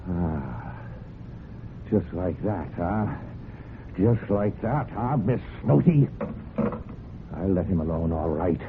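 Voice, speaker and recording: A man playing a surly, drunken horse trainer in a 1970s radio drama, with rough, folksy, grumbling speech. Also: low-pitched, lively intonation, slow pace, pauses often, full character voice. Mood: bitter, taunting. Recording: narrow-band old radio, loud noise.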